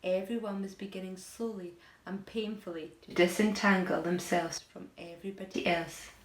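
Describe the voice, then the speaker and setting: A woman narrating a children's story aloud in an expressive style. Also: medium pitch, moderate pace. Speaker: teacher reading aloud. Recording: echoey room.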